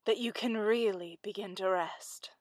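A woman's voice: medium pitch, a little slow, dry recording, close to an everyday voice. Woman as a sly, drawling storybook tomcat. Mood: smug. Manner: dry, offhand, drawling. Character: sly, greedy, smooth-talking male cat.

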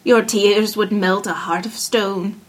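Woman voicing a slow, plaintive storybook frog. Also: medium pitch, fairly even intonation. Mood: slow, coaxing. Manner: slow, drawn-out, deliberate delivery. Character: male talking frog, slow, plaintive, insistent. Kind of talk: fairy tale storybook character voice.

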